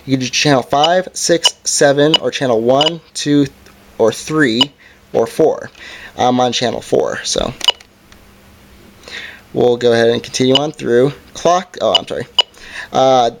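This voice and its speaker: A man with a medium-pitched voice presents a hands-on product review. He talks informally and hesitantly, with an apologetic manner, as he demonstrates features step by step. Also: lively intonation, a little slow, gravelly, long pauses, background noise.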